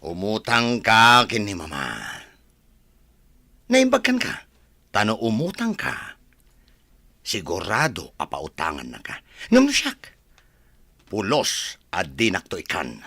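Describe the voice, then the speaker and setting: A man plays a scheming young Ilocano villain in a radio drama. His low voice is sharp and forceful, with big swaggering swings of pitch and a mocking edge. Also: wildly swooping pitch, slow pace, long pauses, dry recording, clean studio sound, heavily acted. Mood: demanding.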